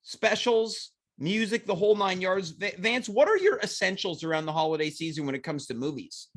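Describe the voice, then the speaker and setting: High-pitched guy hosting a goofy chat show, stuffy and congested, rambling jokes. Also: expressive, brisk, a bit bright. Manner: playful, rambling, self-mocking jokes. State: congested with a cold. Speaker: talk show host. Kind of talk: casual comedic chat.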